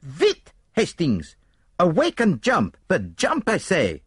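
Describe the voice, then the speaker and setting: An excitable detective. His voice leaps well above the reader's own and swoops theatrically. He is self-assured and fussy, full of formal, exclamatory turns in audiobook style. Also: sits high, slow pace, breathy edge, no echo, studio quality, pitched above the performer's own voice, heavily acted. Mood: frantic.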